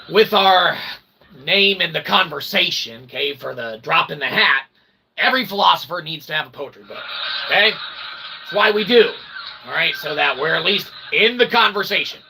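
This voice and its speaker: A man's voice: on the high side, creaky, background noise. A man hosting his own channel, delivering a rambling philosophical monologue in wordy, mock-formal, archaic phrasing and constantly checking in with "okay?". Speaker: host of own channel, independent author and developer.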